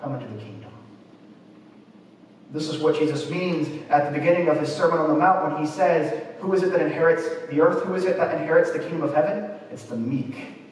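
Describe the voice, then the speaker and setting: A man's voice: fairly high pitch, a little fast, a little mellow. A man in his twenties preaching a sermon from a church pulpit, reading and explaining scripture. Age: 20s. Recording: echoey church, distant mic, faint noise.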